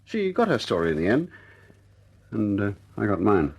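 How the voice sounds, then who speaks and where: Jovial air force officer, radio drama, theatrical and playful, rough-edged voice, wide lively pitch range. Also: male voice, normal speed, full character voice. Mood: boastful, roguish.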